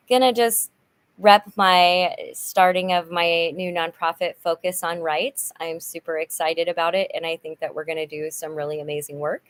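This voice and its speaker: A woman's voice: deep voice, clear, resonant tone. Chatty, upbeat woman hosting a livestream. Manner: chatty, upbeat, many fillers and restarts. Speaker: channel host, nonprofit volunteer. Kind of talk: casual livestream chat reading viewer comments.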